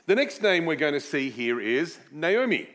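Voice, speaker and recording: Lively, animated male preacher. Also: on the high side, moderate pace. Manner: animated, swooping emphasis on key words; chatty, with self-deprecating asides. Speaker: preacher teaching a series on a Bible book. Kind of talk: sermon with Bible teaching and prayer.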